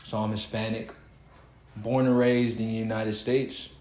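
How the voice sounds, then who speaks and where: A man's voice: on the low side, level tone, measured pace, darkish tone. Preachy young Texan man in an echoey room. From Houston, Texas. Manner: preachy, emphatic, swearing freely. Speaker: podcast host. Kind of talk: casual podcast rant.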